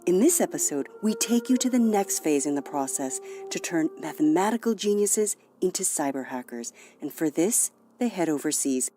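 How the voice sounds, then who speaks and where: A woman podcast host reading a scripted promo in a clear, inviting way. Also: fairly low pitch, expressive, moderate pace, few pauses, mellow, soft background music.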